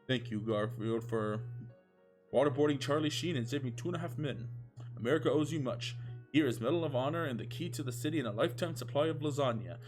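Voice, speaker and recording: A man's voice: mid-range voice, fast, soft background music, close to an everyday voice. A man playing a grateful male president, with a formal, declarative manner, in a comedic reading.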